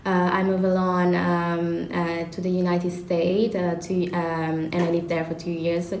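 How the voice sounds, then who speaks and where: A young woman with an Italian accent hosts a student discussion in a lowish, fairly even voice. She speaks haltingly, tripping over some words. The room is clearly echoey. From Italy. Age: young adult. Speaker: student host. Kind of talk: podcast discussion, semi-prepared presentation. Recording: echoey room with faint noise.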